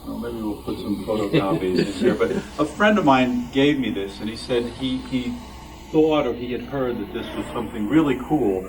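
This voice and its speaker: A man's voice: medium pitch, moderate pace. A man talking casually and jokily to a group at a show-and-tell, poking fun at himself. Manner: jokey, self-deprecating. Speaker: club member showing collection items. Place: club meeting room with audience. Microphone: handheld microphone, picked up from a distance. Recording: distant mic, loud crowd noise.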